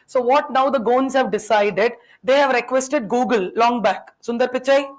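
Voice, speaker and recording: A woman's voice: on the high side, normal speed, low-quality recording. Chatty woman teacher quizzing her class. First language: Tamil. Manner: questioning students, chatty and teasing. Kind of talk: classroom lecture.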